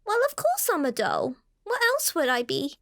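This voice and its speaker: A woman's voice: high-pitched, huge pitch swings, moderate pace, a bit bright, full character voice. A woman playing a vain, childlike talking doll in a horror radio drama, sweetly coaxing and smug. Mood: smug, playful.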